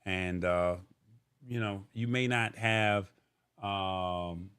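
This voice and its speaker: A man's voice: deep voice, fairly even intonation, very slow, a bit bright, smooth. A man hosting a live show in casual talk, conversational and thinking aloud as he goes. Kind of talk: casual livestream talk, reading viewer comments.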